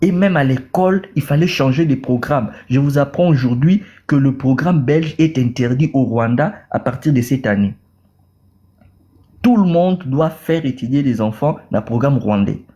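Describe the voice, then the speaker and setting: Congolese man, mellow voice, fervent debate. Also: mid-range voice, normal speed. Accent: Congolese French. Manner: repeats words, mixes in Lingala. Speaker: caller in a debate. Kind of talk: impassioned political talk.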